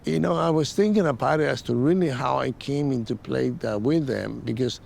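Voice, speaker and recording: Older Mexican-born man, creaky voice, reminiscing. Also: brisk, a little mellow. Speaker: interview guest, former soccer player. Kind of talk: casual reminiscence. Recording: faint rumbling background noise.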